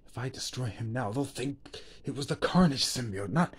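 A man voicing a calculating, glory-hungry human villain who broods and mutters to himself in a hushed voice. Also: medium pitch, lively intonation, normal speed, breathy edge. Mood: brooding, calculating.

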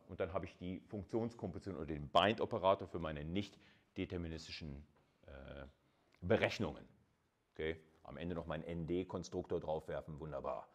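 A man lecturing informally in a colloquial, conversational way, explaining things step by step and addressing his listeners directly. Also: sits low. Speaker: university lecturer.

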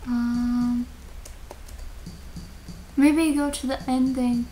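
A woman's voice: a little high, level tone, drawn-out words, background noise. A young adult woman chatting casually as a gaming video host, reacting to the game as it plays. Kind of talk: casual gameplay chat.

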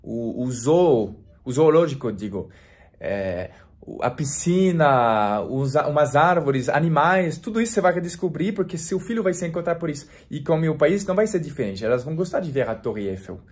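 A man in his forties from France, speaking Portuguese with a French accent in a relaxed, chatty vlog to camera, full of restarts and fillers. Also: on the high side, moderate pace. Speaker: French teacher and vlogger. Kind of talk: casual talk to camera.

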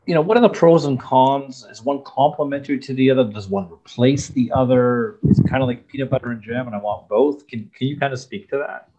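A man's voice: medium pitch, fairly quick, hardly any pauses, mellow. A middle-aged man interviewing a guest over a video call from his home office, asking stacked questions and then summing up the answers. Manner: asks layered questions, summarizes. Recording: slightly dull video call audio.

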